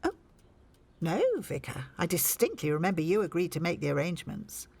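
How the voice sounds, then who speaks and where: A woman's voice: fairly low pitch, animated, a little fast, vocal fry, darkish tone. A woman playing a genteel, subtly manipulative committee woman in a radio soap, prim and pointed. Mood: prim, pushy. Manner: prim, pointed tag questions.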